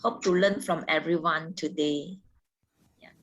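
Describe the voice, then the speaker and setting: A woman's voice: sits low, steady tone, measured pace, low-quality recording. A woman from Malaysia giving a short, cheerful introduction as a guest at an online meeting. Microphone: video call audio.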